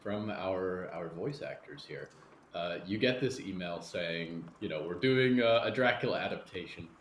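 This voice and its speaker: A man's voice: sits low, expressive, moderate pace. A man hosting a podcast interview in a conversational way, putting questions to guests. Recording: echoey room with faint background noise.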